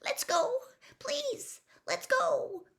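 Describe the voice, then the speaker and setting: A clever teenage girl on the edge of panic, her voice pushed high and shaky, as a woman reader acts her out. She sounds agitated and breathless, swinging widely in pitch. An audiobook reading. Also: very animated, measured pace, pushed and bright, heavily acted.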